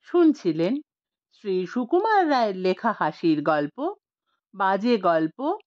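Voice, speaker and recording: A woman narrating a scripted story as an audiobook reader, in an expressive storytelling style. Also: medium pitch, slow, no room sound, highs cut off.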